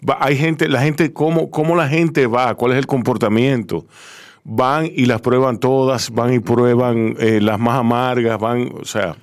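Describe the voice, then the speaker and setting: A man's voice: medium pitch, steady tone, measured pace. A man interviewing casually, repeating phrases as he gropes through his questions.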